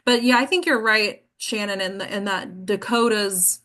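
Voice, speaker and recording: A woman's voice: mid-range voice, moderate pace, pushed and bright. A woman hosting an acting class discussion in a casual, informal and encouraging way.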